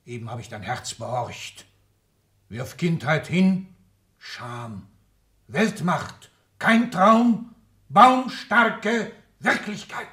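A man's voice: on the high side, animated, extremely slow, bright, forceful tone, exaggerated voice. An older man playing an ailing, domineering old industrialist in a 1960s German radio drama, commanding and declamatory with clipped, emphatic phrases. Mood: fervent, urging. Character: ailing, domineering old industrialist patriarch, irascible, power-hungry.

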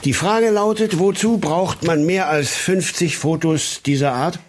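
An actor in a German radio crime drama playing a shrewd, teasing police colleague with a rough, husky voice and deliberate delivery. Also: male voice, fairly high pitch, slight background noise, natural voice. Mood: analytical.

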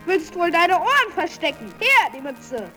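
A woman playing a cheeky, bossy young boy with a high boyish voice in a children's radio play. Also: big pitch swings, measured pace, bright, forceful tone, faint music behind, full character voice. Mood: mocking. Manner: brash, teasing.

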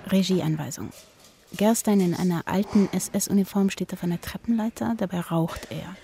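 A woman's voice: lowish voice, normal speed, vocal fry, mellow, slight background noise. A woman reading stage directions as a scripted narrator.

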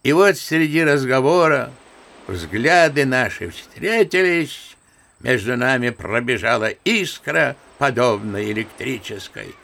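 An actor playing an old general and grandfather, telling his memories in an unhurried, bookish, old-fashioned way in a radio drama. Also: medium pitch, vocal fry, fairly bright tone, full character voice. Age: older. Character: old general and grandfather, unhurried naive storyteller, good-natured. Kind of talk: Russian radio drama acting.

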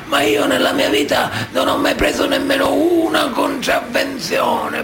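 Music plays behind a roomy, echoing radio-play monologue. an old Sicilian mafia boss, very gravelly and piously aggrieved, declaiming his own innocence with theatrical self-pity. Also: very high-pitched, heavily acted. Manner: declamatory, sanctimonious, self-pitying.

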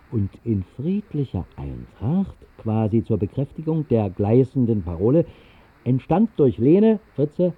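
A man's voice: mid-range voice, phone-quality sound. An actor playing a whimsical man who tells a fantastical tale in a 1960s literary radio play, in a wry, conversational way with musing asides. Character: whimsical man recounting a fantastical night flight over the city. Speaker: first-person narrator of a radio play.

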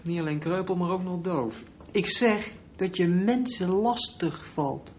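A territorial young bully in a radio drama, mocking and menacing. He takes his words slowly. The recording is dull with some faint noise. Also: high-pitched. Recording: muffled, slightly noisy recording.

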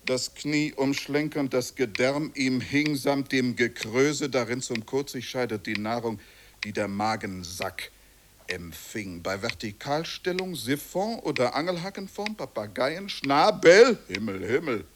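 A man's voice: mid-range voice, animated, measured pace, background noise, close to an everyday voice. A man performing an eccentric anatomy lecturer in an avant-garde radio play, theatrical, stretching words and swooping erratically. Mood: brooding. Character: eccentric anatomy lecturer slipping into manic wordplay. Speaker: performer in a spoken-word sound composition.

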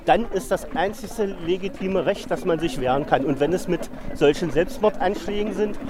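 Higher-pitched man arguing emphatically. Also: normal speed, crowd noise. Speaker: protester giving an interview. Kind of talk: spontaneous political argument.

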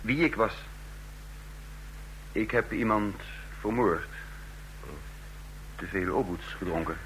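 An actor playing a bewildered amnesiac fugitive who speaks haltingly in a vintage radio drama. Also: male voice, on the low side, fairly bright tone, poor audio. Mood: dazed, uneasy. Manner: hesitant, halting. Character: bewildered amnesiac male fugitive under interrogation. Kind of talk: vintage Dutch radio play acting. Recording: old radio recording, hum and hiss.

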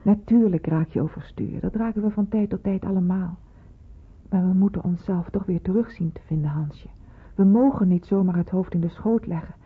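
A woman's voice: mid-range voice, normal speed, slightly rough. An actress playing a stern but motherly senior nurse in a Dutch radio play, speaking with persuasive authority. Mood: motherly, consoling. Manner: authoritative, admonishing. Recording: muffled, phone-like old radio recording.

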